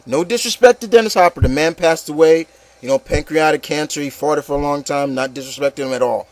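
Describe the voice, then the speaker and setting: A man with a slightly high voice, recorded close and dry, delivers a casual, opinionated rant. He sounds exasperated and repeats his words for emphasis, with some profanity. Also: quick pace, no room sound, rough recording. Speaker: host of an opinion show on video games.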